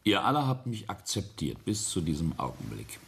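A ruthless older tycoon in a radio play, with a very low, gravel-filled voice. His intonation swings widely as he speaks in a deliberate, imperious way, coldly laying down the truth to his family. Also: wildly swooping pitch, fairly bright tone, full character voice. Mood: accusing. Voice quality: gravelly, husky. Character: ruthless, boastful patriarch and self-made industrialist, coldly confessing. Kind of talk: German radio play acting.

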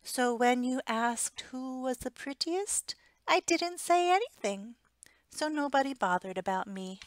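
A woman's voice: high-pitched, animated, a little slow, dry recording, pitched above the performer's own voice. A woman voicing a small, humble, homely kitten from a picture book, meek and modest.

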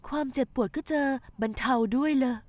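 A woman voices a caring young daughter-in-law. She speaks softly and politely, and her voice sits a little high and sweet. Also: fairly quick, no room sound, natural voice. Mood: tender. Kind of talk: dubbed television drama acting.

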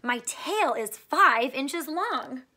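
A hungry storybook robin, voiced by a woman reading aloud, questions and commands. The bird speaks high and very slowly, stretching its words with curiosity. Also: animated, sharp tone, a little hiss or hum, exaggerated voice. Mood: proud.

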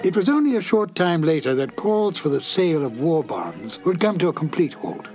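Guy narrating softly, wistful, bouncy pitch, faint music under it. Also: big pitch swings, moderate pace. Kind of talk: reflective scripted narration.

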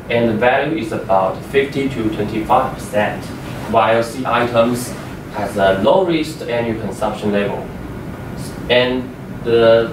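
A young man, a native Chinese speaker, giving a class project presentation, halting and repeating words as he explains slides. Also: medium pitch. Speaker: student presenter. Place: classroom with a projector screen, indoors. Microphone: far room microphone. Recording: echoey room from far off, noisy.

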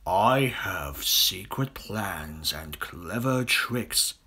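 Storybook reader doing a massive greedy croc, sly n gloating, drags out every word, kinda husky. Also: deep voice, animated, bright, forceful tone, heavily acted. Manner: slow, drawn-out, relishing words. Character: huge greedy male crocodile, sly and gloating. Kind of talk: theatrical children's storybook character voice.

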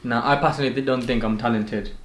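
A man's voice: mid-range voice, fairly even intonation, fast. A young man hosting a casual sibling vlog in a bedroom, teasing and brash, filmed by a camera a few feet away. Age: young adult. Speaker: vlogger, host of the channel. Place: bedroom, indoors. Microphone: camera on a tripod, a few feet away. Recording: echoey bedroom, faint hiss.

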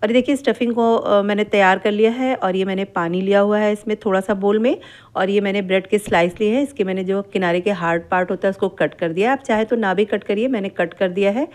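A middle-aged woman talks viewers through a home recipe in a patient voice-over. She explains every step in a steady, instructive way and goes back over amounts. Her pitch sits in the ordinary middle for a woman. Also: quick pace. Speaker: cooking channel host. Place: home kitchen.

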